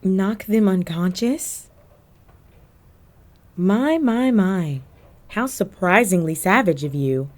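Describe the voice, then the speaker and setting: Woman acting a slow, teasing, flirty cat burglar villainess. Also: mid-range voice, lively intonation, a little mellow. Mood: sly, teasing. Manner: coy, teasing, drawn-out phrasing with terms of endearment. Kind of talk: roleplay audio drama addressed to the listener.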